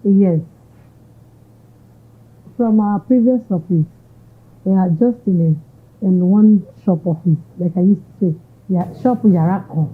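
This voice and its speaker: A Nigerian businesswoman talks through her story in an interview, easily slipping Yoruba phrases into her English. Her voice is husky and of middling pitch. The recording sounds thin and phone-like. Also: a little slow, pauses often. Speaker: entrepreneur, interviewee. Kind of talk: conversational interview answers. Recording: tinny narrow-band audio.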